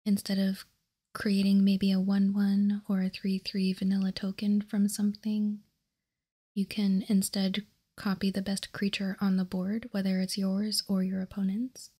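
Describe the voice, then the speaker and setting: Woman, ASMR host, breathy whisper, slow and unhurried, even delivery. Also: mid-range voice. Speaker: ASMR card game video host. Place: indoors, tabletop card showcase.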